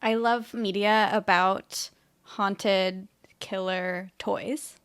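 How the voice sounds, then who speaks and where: A woman's voice: mid-range voice, fairly even intonation, very slow, fairly bright tone, clean tone. A woman co-hosting a podcast, speaking in a casual, conversational way as she leads the chat. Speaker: podcast co-host leading the recap. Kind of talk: casual chat and episode recap.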